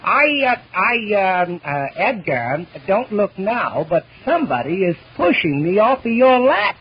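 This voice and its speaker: A man voicing a stammering, excitable boy ventriloquist's dummy in an old comedy routine. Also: high-pitched, unhurried, breathy edge, pitched above the performer's own voice, heavily acted. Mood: flustered. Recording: muffled vintage 78rpm record.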